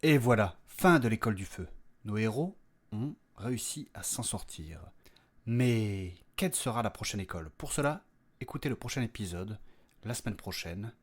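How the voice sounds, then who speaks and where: Low-pitched man narrating an outro. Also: animated, moderate pace, long pauses. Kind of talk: scripted episode outro.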